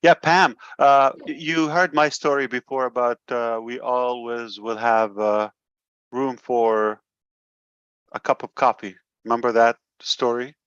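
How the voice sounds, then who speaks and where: A man hosting a video call, speaking in a slow, halting way with many pauses and fillers. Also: medium pitch, fairly even intonation, fairly bright tone, clear, resonant tone, dry recording. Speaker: meeting host. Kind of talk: casual video call chat. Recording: dull lo-fi call audio.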